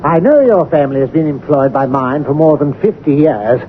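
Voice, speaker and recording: Guy doing bossy suspicious manor master, high voice, tinny radio drama with slight hiss. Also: expressive, close to an everyday voice. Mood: demanding. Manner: brisk, commanding. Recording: band-limited old radio broadcast with hiss.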